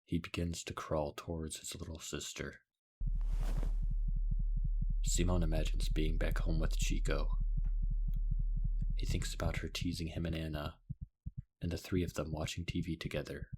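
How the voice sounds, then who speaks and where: A man reading a scripted story recap as a narrator, in a deadpan, somber way. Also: extremely low pitch, slightly rough. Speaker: video essay narrator.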